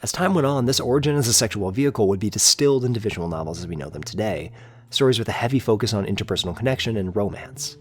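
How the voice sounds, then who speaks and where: A man's voice: lowish voice, expressive, fast, breathy edge. A man reading a scripted, analytical video essay narration in a formal, articulate way with emphatic openings to his phrases.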